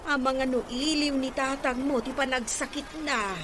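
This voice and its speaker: A woman's voice: high-pitched, steady tone, moderate pace, natural voice. A woman playing an older provincial mother who fondly pleads with her grown daughter, in Ilocano-accented radio drama acting. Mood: pleading, fond. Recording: noisy radio drama recording.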